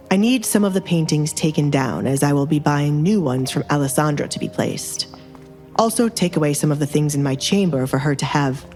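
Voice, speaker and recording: Give a low-pitched, imperious young nobleman from an audio romance drama, acted out by a woman. He is stern and deadpan, issuing clipped orders with cool, teasing authority over music. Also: natural voice. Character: stern, commanding young duke, coolly teasing his butler.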